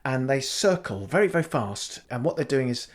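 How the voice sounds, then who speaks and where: A man improvising a fake life story as a comedy podcast guest, rambling in a deadpan way with many restarts. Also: medium pitch, normal speed. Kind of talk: improvised comic interview.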